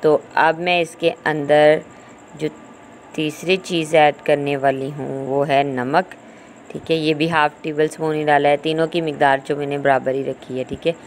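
Low-pitched woman, noisy recording. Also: fairly even intonation, moderate pace. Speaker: cooking channel host. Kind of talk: informal recipe voice-over. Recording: home recording with clear background noise.

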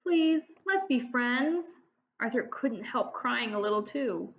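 A woman's voice: on the high side, dull audio. A woman in her forties, a teacher, reading a children's chapter book aloud at home into a laptop webcam, voicing the dialogue expressively. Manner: slow, expressive storytelling with lively voiced dialogue. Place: home room, indoors.

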